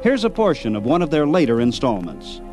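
A man's voice: mid-range voice, wildly swooping pitch, a little fast, dark tone, faint music behind. A man hosting a program in scripted documentary narration. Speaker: program host.

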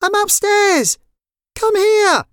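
A storyteller voicing a gleeful, excitable little sister in a children's story. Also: very high voice, slow pace, dry recording, clean studio sound, pitched above the performer's own voice, full character voice. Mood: calling back.

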